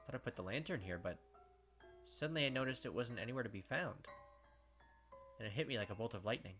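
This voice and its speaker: A young man reading a visual novel aloud in a dramatic first-person voice, breaking off for excited asides and commentary as a let's play gamer. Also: mid-range voice, quick pace, soft background music.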